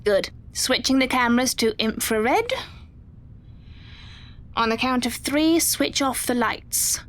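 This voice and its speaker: An actress playing a cool, commanding lead experimenter in an audio drama, giving deliberate orders. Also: female voice, fairly high pitch, a bit bright.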